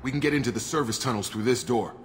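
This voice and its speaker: An actor playing a loyal, straight-talking military operative in a video game, blunt and informal. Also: male voice, mid-range voice, quick pace, close to an everyday voice. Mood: earnest. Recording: long reverb, music underneath.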